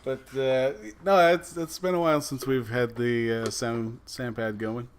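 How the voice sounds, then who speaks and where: A man's voice: medium pitch, steady tone, measured pace, slight background noise. A man with an average voice on a casual podcast. He sounds laid-back and a little mumbly, handling the show's clips while joking along with the others. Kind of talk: casual group chat.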